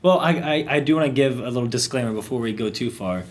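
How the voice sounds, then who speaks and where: A male podcast host with a middle-range voice, chatting casually from a basement room. He sounds eager and curious, quick to apologize and quick to laugh, keeping things friendly. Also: medium pitch.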